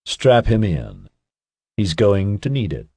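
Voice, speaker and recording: A man voicing a calm, composed man in charge who speaks in curt, measured phrases. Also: on the low side, vocal fry, husky, dry recording, exaggerated voice.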